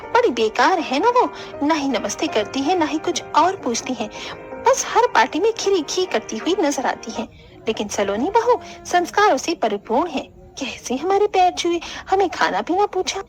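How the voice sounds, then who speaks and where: A woman plays a courteous middle-aged family friend in a cartoon drama. She chats approvingly in a high voice, over clear music. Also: very animated, moderate pace.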